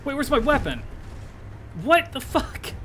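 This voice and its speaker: A man's voice: very high voice, huge pitch swings, a little slow. A man streaming a video game live, chatting loosely with his viewers, swearing and talking back at the game. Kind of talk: live gameplay commentary. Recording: clear game noise behind.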